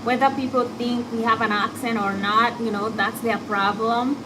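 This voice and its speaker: A woman's voice: on the high side, normal speed. African-accented woman, casual and reflective. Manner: candid, reflective, talks to a guest and listeners. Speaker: podcast host. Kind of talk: casual chat. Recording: steady background noise.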